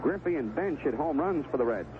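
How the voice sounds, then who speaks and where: Animated American baseball announcer, tinny old radio. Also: male voice, a little high, a little fast. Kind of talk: live radio sports commentary. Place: stadium broadcast position. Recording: noisy, tinny 1970s AM radio broadcast.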